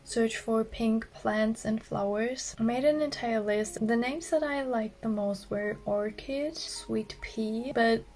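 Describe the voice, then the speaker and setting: A woman with a raspy voice, vlogging about her clay art in a soft-spoken way that often drops to a whisper. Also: a little high, slow pace, few pauses. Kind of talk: casual vlog narration.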